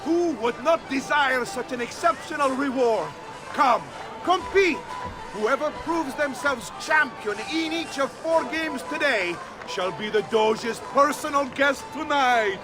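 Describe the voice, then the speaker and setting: An actor playing a showy carnival game announcer in a video game, with an Italian accent and a crowd-rousing patter. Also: male voice, extremely high pitch, unhurried. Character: boisterous Venetian carnival game announcer man. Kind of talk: video game cutscene acting. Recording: loud festival crowd and music behind. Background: festival crowd and music.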